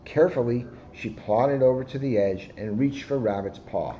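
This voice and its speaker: A man reading a children's picture book aloud at an average pitch, easygoing and lively. The sound is dull and lo-fi, with a faint hiss behind it. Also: moderate pace. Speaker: police officer. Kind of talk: storybook read-aloud.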